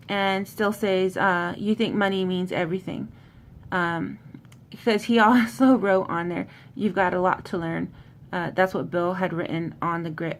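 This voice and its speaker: A woman talks through a story in an informal, slangy way, like a host recapping a book for listeners. Her voice sits at an ordinary mid pitch. There is noticeable noise and a low rumble behind her. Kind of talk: casual book summary with commentary. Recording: clearly noisy, low background rumble.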